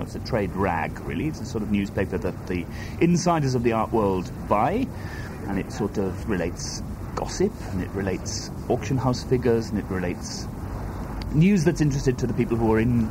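A man from Wales, a native Welsh speaker, talks through his ideas in a hesitant, circling way, restarting phrases as he goes. His voice sits at an ordinary middle pitch for a man. A clear hum of outdoor noise runs behind him. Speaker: artist interviewee. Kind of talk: reflective interview answers.

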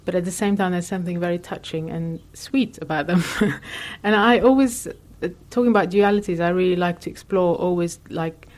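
A woman artist from Lebanon with a somewhat low voice answers interview questions reflectively. She thinks aloud, with frequent pauses and fillers between her ideas. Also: a little mellow.

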